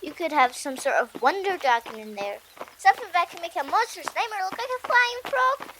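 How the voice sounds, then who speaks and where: An earnest young Viking boy, acted by a woman for an audiobook, with a very high voice that moves up and down in lively swings. He sounds anxious and loyal, over clear background noise. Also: fairly quick, heavily acted. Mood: excited. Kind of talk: audiobook character acting.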